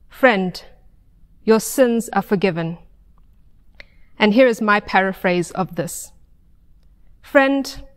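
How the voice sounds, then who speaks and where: A middle-aged woman with an American accent delivers a prepared sermon in measured, deliberate phrases with pauses. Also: medium pitch. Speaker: pastor preaching. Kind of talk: sermon, read from notes. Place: pulpit in a stone church sanctuary. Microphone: lapel mic. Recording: long church reverb.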